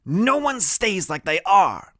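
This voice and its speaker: A man's voice: high voice, big pitch swings, slow, fairly bright tone, dry recording, studio quality, pitched above the performer's own voice, heavily acted. A man voicing a small, brainy, bossy boy in an audiobook, speaking in grand, precise, dramatic phrases. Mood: earnest, lofty.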